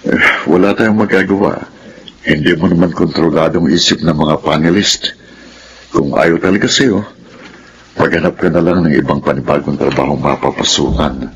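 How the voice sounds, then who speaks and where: A patient Filipino father in a radio drama. His voice is very low and his manner is gentle and advising, over clearly audible background noise. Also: moderate pace, lo-fi sound. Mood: consoling. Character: patient, understanding father of grown children.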